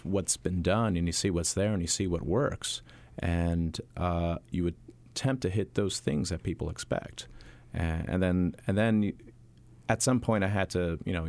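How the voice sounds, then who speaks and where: A deep-voiced man talks through his work as a stage actor in an interview. He pauses often, filling gaps with fillers and restarting sentences. The sound is dry and close, with no room around it. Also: darkish tone, dry recording. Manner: halting, many fillers and restarts. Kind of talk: interview answers.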